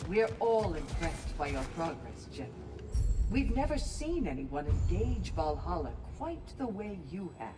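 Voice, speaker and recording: A woman's voice: fairly low pitch, sing-song, a little slow, background noise. An actress playing a poised, long-lived warrior woman in a video game, composed and formal. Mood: impressed, curious. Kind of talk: dramatic video game acting. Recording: distant voice under music.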